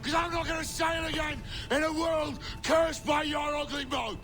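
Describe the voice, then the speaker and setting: Creaky, raging pirate captain. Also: male voice, very high-pitched, fairly even intonation, moderate pace, soft background music, full character voice. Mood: crazed rage. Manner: snarling, mocking, theatrical shouting. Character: ferocious, half-mad pirate captain, taunting and vengeful. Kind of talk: video game cutscene acting.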